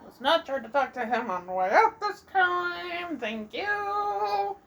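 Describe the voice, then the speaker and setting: High, animated woman gamer. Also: takes it slowly. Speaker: gamer, let's play host. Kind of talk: casual gameplay commentary.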